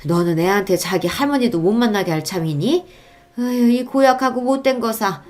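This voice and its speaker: A woman's voice: a little high, animated, moderate pace, a little mellow, natural voice. A woman voicing a stern, grumbling old mother-in-law who scolds curtly in a dramatized radio story. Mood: angry scolding. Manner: scolding, grudging, curt.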